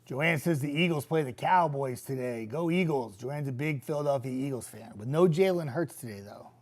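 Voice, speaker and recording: A man's voice: mid-range voice, normal speed. A young man, a TV weatherman who says he is getting sick and losing his voice, chatting casually with viewers and reading their comments. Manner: chatty, easygoing, trailing off into quiet asides. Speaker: TV meteorologist hosting a viewer chat.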